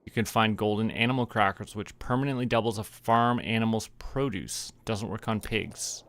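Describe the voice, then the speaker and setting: A man's voice: fairly low pitch, steady tone, normal speed, a bit bright, slight background noise. A man, playfully thinking aloud and narrating what he does as he streams a game. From Canada. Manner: playful, narrates own actions and reads game text aloud.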